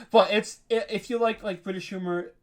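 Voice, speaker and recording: A man's voice: sits high, steady tone, normal speed. A man being interviewed as a podcast creator, chatting casually in an informal, slangy, rambling way. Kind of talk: casual chat.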